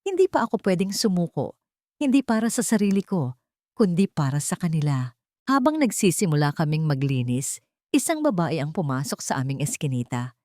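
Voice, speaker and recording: Filipino woman narrating a story, slightly low voice. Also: very animated, brisk, dark tone, no echo, studio quality. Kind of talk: scripted audiobook narration.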